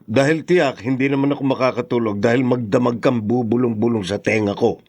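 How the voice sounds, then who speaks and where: A man's voice: medium pitch, brisk, darkish tone, natural voice. An actor playing a middle-aged husband and father who turns curt and defensive when pushed. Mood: irritated. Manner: teasing, then curt and defensive. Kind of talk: TV drama acting.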